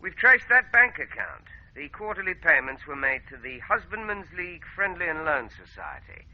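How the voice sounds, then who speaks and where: Brisk, animated male police inspector. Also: a little high, lo-fi sound. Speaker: actor in a radio drama. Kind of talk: 1980s radio drama acting.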